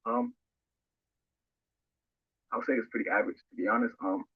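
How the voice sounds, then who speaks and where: A man's voice: mid-range voice, huge pitch swings, normal speed, husky. A man giving candid, matter-of-fact interview answers about himself, somewhat hesitantly. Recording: dull lo-fi played-back audio.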